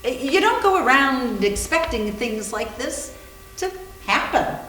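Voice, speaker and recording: A woman's voice: high voice, sing-song, takes it slowly, fairly bright tone, exaggerated voice. A woman playing a bold, witty young female houseguest with a chatty, wry manner in a staged reading. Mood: breezy. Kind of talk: staged readers theater. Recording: roomy, echoing hall with hum.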